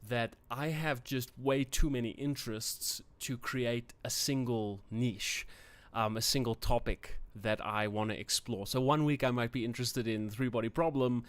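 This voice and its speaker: A man's voice: mid-range voice, fairly even intonation, moderate pace, hardly any pauses. A young adult man with a South African accent and Afrikaans as his first language, hosting a casual livestream and rambling between topics with fillers and self-corrections. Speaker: channel host.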